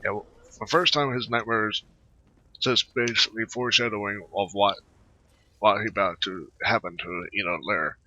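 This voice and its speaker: A man giving casual, halting commentary over a video game playthrough, mumbling asides and bits of on-screen text. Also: lowish voice, fairly even intonation, sharp tone, a little hiss or hum. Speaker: gameplay video host. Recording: slightly muffled, dull microphone sound. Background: voice-over on gameplay recording.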